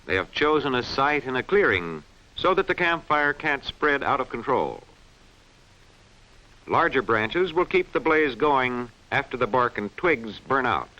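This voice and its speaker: A man reads scripted instructional film narration in a clearly enunciated, didactic way. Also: mid-range voice, sing-song, measured pace, bright, forceful tone. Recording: dull, band-limited vintage film soundtrack.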